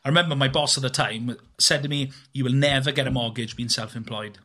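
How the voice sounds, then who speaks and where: Bright-voiced man, earnest and rambling. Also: medium pitch, quick pace. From Wales. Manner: earnest, rambling with frequent fillers and restarts. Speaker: guest, filmmaker and business owner. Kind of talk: conversational interview.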